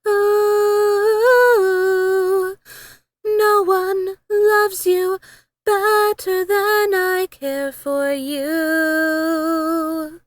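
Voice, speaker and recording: A woman's voice: extremely high pitch, dry recording. A woman singing a simple, lullaby-like song.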